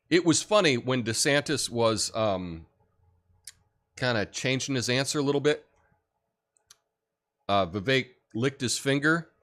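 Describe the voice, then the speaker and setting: A deep-voiced man hosting a livestream, chatting casually and reading viewer comments with lots of fillers. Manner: rambling, relaxed, many fillers and restarts. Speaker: livestream host.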